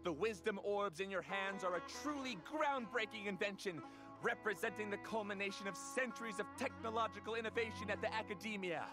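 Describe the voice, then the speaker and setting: A man's voice: high voice, a little fast, close to an everyday voice. A man playing a polite, long-winded, nerdy inventor who explains formally and eagerly in a video game. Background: plucked-string game music.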